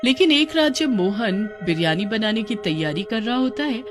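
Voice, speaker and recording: A woman's voice: on the high side, lively intonation, moderate pace, faint music behind. A woman narrating a scripted cartoon story.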